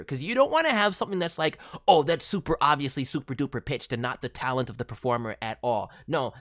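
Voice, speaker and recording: A man's voice: fairly high pitch, a bit bright. A man voicing an eager, encouraging cartoon raccoon mascot who hosts with cutesy, playful wording in casual livestream commentary. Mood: impressed, encouraging. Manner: cutesy playful word forms. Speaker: VTuber host reviewing voice demo reels.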